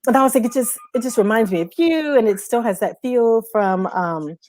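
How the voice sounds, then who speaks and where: A woman's voice: fairly high pitch, a little fast, a little mellow. A woman co-hosting a music talk show, chatting casually with emphatic, slangy enthusiasm. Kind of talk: casual, unscripted chat.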